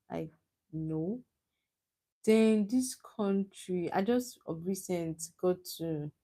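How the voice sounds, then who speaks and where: A woman's voice: fairly low pitch, mellow. A young woman with a Nigerian accent, hosting a casual live stream and chatting with viewers, teasing and laughing often. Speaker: livestream host.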